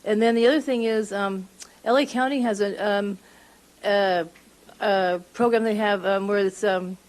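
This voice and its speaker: A woman's voice: mid-range voice, fairly even intonation, a little slow, darkish tone. Woman giving hesitant public comment. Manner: hesitant, with many um fillers. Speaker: public commenter at city council.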